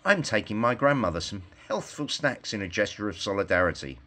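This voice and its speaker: A man's voice: on the low side, animated, a little fast, sharp tone. Man putting on a preachy, indignant little girl. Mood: prim, indignant. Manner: lecturing, formal wording.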